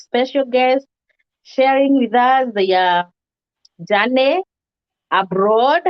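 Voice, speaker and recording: A woman's voice: on the high side, muffled recording. A woman hosting an interview in a casual, upbeat way, greeting guests and viewers. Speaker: host.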